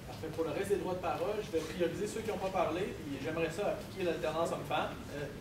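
A man chairing a debate, briskly handing out turns to speak. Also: fairly high pitch. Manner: brisk, organizing. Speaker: moderator. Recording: echoey room from far off, background noise.

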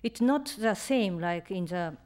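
A woman in her fifties from Japan with a Japanese accent, answering audience questions in English at a reading, halting and searching for words, on a stand mic. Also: mid-range voice, expressive, darkish tone. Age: 50s. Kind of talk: live Q&A at a reading. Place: lecture room on stage. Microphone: stand mic in front.

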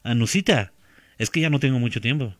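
A man's voice: medium pitch, expressive, fairly quick, noisy background. A man in his thirties streaming a game from a small home room on a headset, chatting casually, repeating himself and laughing often. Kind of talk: casual gaming stream chat.

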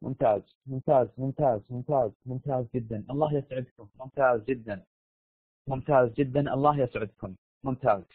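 A man's voice: mid-range voice, quick pace, slightly rough. A man with a Saudi accent and a tired, strained voice, lecturing a live online class informally, repeating phrases and prodding students to answer. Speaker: university lecturer. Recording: dull lo-fi online audio, roomy echo.

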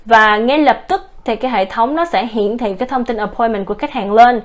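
A woman with a fairly high voice narrates a software tutorial over a screen recording. She explains each step in order, in a formal, courteous way, and now and then restarts a phrase. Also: a little fast. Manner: formal, addresses viewers politely as quý vị. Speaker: software demonstrator.

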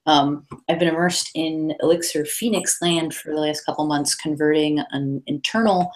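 A woman's voice: sits low, fairly even intonation, normal speed, poor audio. A woman presenting a casual coding talk at a meetup over a video call, talking loosely with many fillers and restarts. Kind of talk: casual tech talk with slides.

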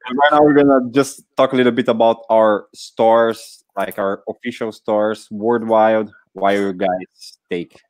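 A man co-hosting a live video Q&A walks viewers through the band's web store in an easy, unhurried way. His voice sits low and dry on a headset mic. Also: no echo, low-quality recording. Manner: relaxed, promo-style plugging of the store. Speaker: band singer and co-host. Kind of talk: live Q&A chat. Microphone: headset microphone on video call.

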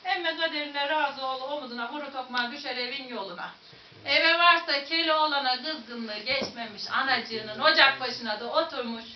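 Central anatolian woman telling a folk tale, high voice, echoey far-off room, dull sound. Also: normal speed. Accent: Central Anatolian Turkish. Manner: lively, dramatic, addresses listeners directly. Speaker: storyteller. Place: museum room. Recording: echoey room, distant, dull, faint noise.

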